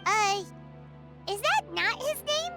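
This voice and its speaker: Brief: a tiny excitable sidekick, very high, bouncy, full of questions. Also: female voice, takes it slowly, soft background music, exaggerated voice. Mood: curious. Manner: curious, blurts questions. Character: small excitable floating companion girl.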